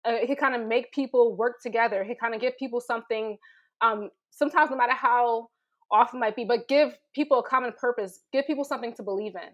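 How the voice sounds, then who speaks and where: Higher-voiced woman, searching for words. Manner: tentative, searching, halting phrasing. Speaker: teacher and storyteller panelist. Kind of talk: thoughtful casual panel talk.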